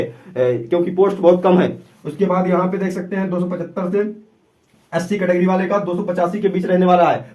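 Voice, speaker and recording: A man's voice: high-pitched, darkish tone. A man giving an informal, instructive explanation to viewers, often repeating himself and urging them to pay attention. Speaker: exam-prep YouTube presenter.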